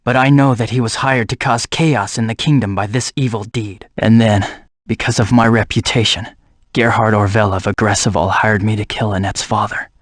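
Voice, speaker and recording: A man voicing a brooding, vengeful assassin in a video game, speaking gravely and in measured, formal phrases. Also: fairly low pitch, steady tone, dry recording, clean studio sound, lightly acted. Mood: grave, resigned. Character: brooding vengeful male assassin, haunted by his past. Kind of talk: dramatic English video game voice acting.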